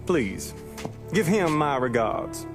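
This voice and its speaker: A high-voiced Southern country bootlegger for a crime game. He drawls slowly and politely, with folksy eagerness, over clear background music. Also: big pitch swings. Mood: jovial, courteous. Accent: American South. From Kentucky, United States. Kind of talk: video game cutscene acting.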